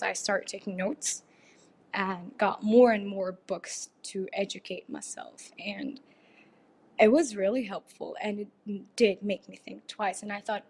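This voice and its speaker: Breathy teenage girl in an earnest voicemail. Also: mid-range voice. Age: teens. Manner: earnest, halting, soft pauses. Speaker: caller leaving a voicemail. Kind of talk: personal testimony.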